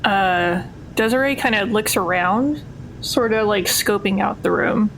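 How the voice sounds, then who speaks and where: A woman's voice: measured pace, fairly bright tone, low-quality recording. Tired woman chatting, faint background noise. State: tired, frazzled. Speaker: tabletop game player. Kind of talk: casual chat. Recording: noticeable low rumbling background noise.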